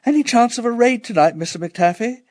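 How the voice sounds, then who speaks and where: A man's voice: very high voice, animated, brisk, a little mellow, dry recording, clean studio sound, pitched above the performer's own voice. A man voicing an eager young schoolboy with a pleading manner, in a storytelling narration.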